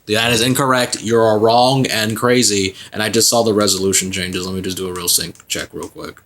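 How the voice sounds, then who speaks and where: A man's voice: lowish voice, steady tone, fairly quick, a bit bright, a little hiss or hum. A young man in his twenties co-hosting a gameplay video, chatting casually with laughs and rambling restarts. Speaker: let's play co-host.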